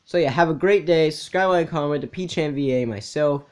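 A man's voice: a little high, normal speed. A young man hosting a reaction video with casual, off-the-cuff commentary. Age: young adult.

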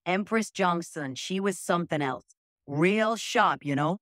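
A woman's voice: lowish voice, animated, unhurried, no room sound, clean studio sound. A female AI voice putting on a brash, street-smart New Yorker with a New York accent and short emphatic phrases.

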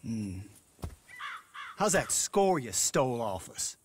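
A man's voice: mid-range voice, sing-song, slow pace, slightly rough, heavily acted. An actor playing a sly rival gang boss in a Western game, mocking and needling as he talks. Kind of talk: game cutscene dialogue.

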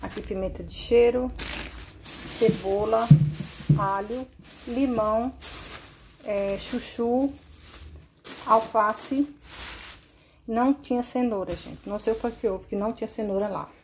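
A woman showing her grocery haul at home, listing each item one by one in a relaxed, casual way. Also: fairly high pitch, a little hiss or hum. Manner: slow, listing items one by one. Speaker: vlogger. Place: kitchen at home, indoors.

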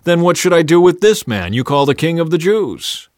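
A man voicing a forceful, exasperated Roman governor addressing a crowd in a dramatized scripture reading, with loud, emphatic questioning. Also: a little high, very animated, moderate pace, no echo, studio quality, voice pushed up. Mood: demanding, exasperated.

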